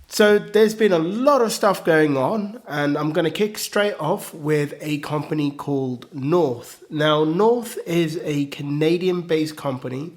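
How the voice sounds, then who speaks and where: Slightly croaky man hosting a tech podcast. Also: on the high side. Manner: chatty, upbeat, frequent ums and uhs. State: getting over a cold, slightly croaky. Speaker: podcast host. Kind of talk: solo tech news podcast.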